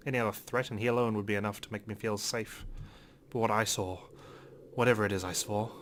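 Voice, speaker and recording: A man in a lowish voice reads a visual novel aloud, narrating dramatically and breaking off for joking asides. A faint hiss sits under the recording. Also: fairly even intonation, quick pace, a bit bright. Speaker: let's player.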